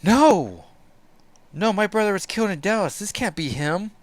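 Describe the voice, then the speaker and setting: A man's voice: high-pitched, lively intonation, normal speed, no room sound, pitched above the performer's own voice. A man doing an anguished hero brother. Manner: anguished, shouting. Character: strong grieving male superhero brother.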